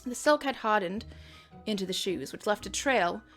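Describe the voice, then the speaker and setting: A woman's voice: medium pitch, lively intonation, normal speed, fairly bright tone, soft background music. A woman giving a dramatic reading of her own fan fiction, with wry, self-mocking asides. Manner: theatrical, self-mocking commentary. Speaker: reader and host.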